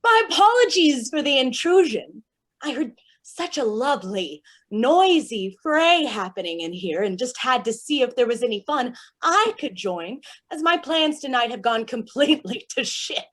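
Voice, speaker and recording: Manic, high-voiced villainess. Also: female voice, big pitch swings, normal speed. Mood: manic chatter. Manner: rambling, theatrically polite, menacing. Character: manic, chatty villainess with smeared mime makeup. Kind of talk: staged play reading.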